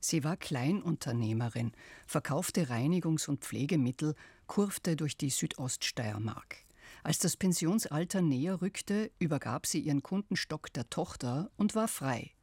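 A narrator reading a scripted radio feature in a calm, measured way. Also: medium pitch, slightly rough, no echo.

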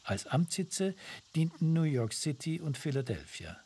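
Husky-voiced male documentary narrator. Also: a little high, big pitch swings, unhurried, darkish tone.

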